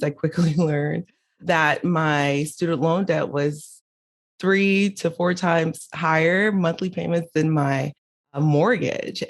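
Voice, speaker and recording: A woman with a low voice, middle-aged, speaks as a guest on an interview podcast. She tells her own story candidly, with laughs here and there. She was born in Haiti and raised in Miami.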